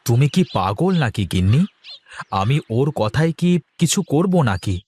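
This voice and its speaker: Self-assured village husband, lively delivery, acted by a man. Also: medium pitch, moderate pace, a little hiss or hum, natural voice. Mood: dismissive. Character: confident village husband and elder-brother figure. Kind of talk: Bengali cartoon dialogue.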